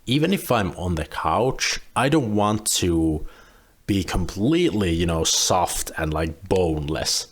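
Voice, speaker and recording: Deep-voiced man reacting to a clip. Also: a little slow, slightly rough. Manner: relaxed, rambling with frequent restarts. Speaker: reaction video host. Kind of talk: casual commentary on a clip.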